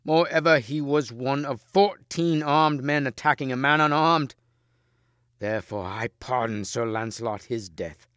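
A man's voice: mid-range voice, a little slow, fairly bright tone, clean tone, no room sound, studio quality, pitched above the performer's own voice, natural voice. A man voicing a loyal, principled knight in an earnest, impassioned manner for a story. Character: loyal, principled knight Gawain pleading with his king.

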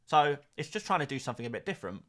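A man's voice: medium pitch, sing-song, rapid-fire, a bit bright. A young man hosting a livestream, chatting casually and reading out viewer comments in a playful, rambling way. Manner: playful, rambling, lots of restarts and laughs. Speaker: livestream host.

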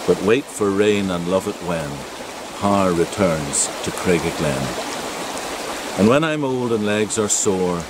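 A man in his sixties with a Northern Irish accent, reading verse in a measured, rhythmic way. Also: sits low. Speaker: amateur poet. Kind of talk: poetry reading. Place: outdoors in a wooded glen by a stream. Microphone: camera mic a couple of metres away. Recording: loud rushing stream noise outdoors.

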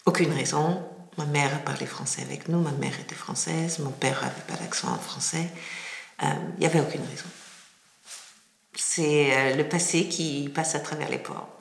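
Woman interviewee, slight foreign-sounding accent in French, deep register, pauses to find words, echoey room. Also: expressive, gravelly. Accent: slight, hard-to-place foreign accent in French. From France. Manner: halting, searching for words, pausing often. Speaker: classical music impresario being interviewed. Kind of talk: reflective interview answers. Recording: roomy, echoing indoor sound.

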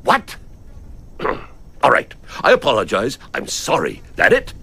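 A man's voice: medium pitch, wildly swooping pitch, slow, gravelly, pushed and bright, breathy edge, exaggerated voice. A man voicing a grumpy, threatening big bird of prey in a cartoon dub, blustering and theatrical. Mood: grudging. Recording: music under the dialogue.

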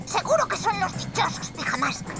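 A woman's voice: extremely high pitch, sing-song, normal speed, heavily acted. A woman with a Castilian Spanish accent playing a vain, scheming boy mad scientist in a cartoon, with a boastful, theatrical delivery. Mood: smug, mocking. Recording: loud music behind the voice.

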